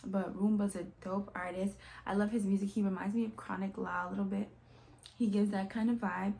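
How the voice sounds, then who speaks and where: A woman's voice: medium pitch, little pitch movement, fairly quick, creaky, darkish tone. A woman hosting a reaction video, talking casually and informally to her viewers, often addressing them as y'all. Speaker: host of music reaction videos. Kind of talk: casual chatty commentary.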